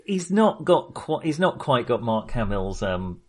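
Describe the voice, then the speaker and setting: Animated, slightly husky man chatting about films. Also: medium pitch, unhurried. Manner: enthusiastic, digressive, jokey. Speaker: film podcast co-host. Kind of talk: casual film discussion chat.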